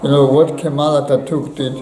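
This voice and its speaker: A man with a Cypriot accent hosting a casual conversation, earnest and full of halting restarts. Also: fairly high pitch, little pitch movement. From Cyprus. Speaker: host of a theatre venue. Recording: echoey room with crowd chatter.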